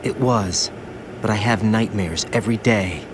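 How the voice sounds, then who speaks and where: A man's voice: lowish voice, measured pace, background noise, close to an everyday voice. Man playing a haunted, brooding young soldier. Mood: bitter confession. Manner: anguished, confessional, brooding. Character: haunted young male soldier, former child soldier, bitter and guilt-ridden. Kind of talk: dramatic video game cutscene acting. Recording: music behind the voice.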